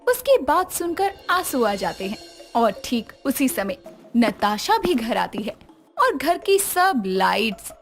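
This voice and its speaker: Woman narrator, high voice, animated intonation, scripted storybook reading, music bed. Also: takes it slowly.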